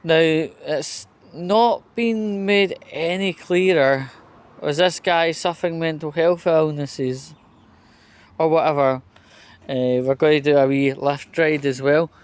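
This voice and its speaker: A man's voice: fairly high pitch, steady tone, slow pace, smooth. A Scottish man from Scotland talking casually to camera in a rambling way, with fillers and restarts, as he walks through a train station and into a lift. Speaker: vlogger. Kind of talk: casual walking monologue.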